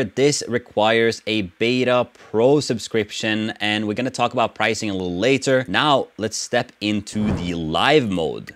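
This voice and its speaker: A man in his thirties hosts a tech tutorial in a relaxed, conversational style. His voice sits a little lower than average as he talks through things on screen. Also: no echo. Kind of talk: casual tutorial narration.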